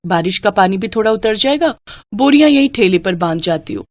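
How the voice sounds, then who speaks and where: A woman's voice: mid-range voice, animated, brisk, no room sound, natural voice. A woman doing an eager, kind young vegetable seller. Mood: thinking aloud. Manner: bright, eager, reassuring.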